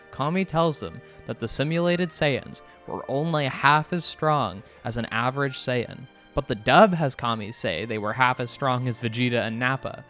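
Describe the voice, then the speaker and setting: A man's voice: mid-range voice, a bit bright. Man narrating a scripted video over music. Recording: music behind the voice. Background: background music.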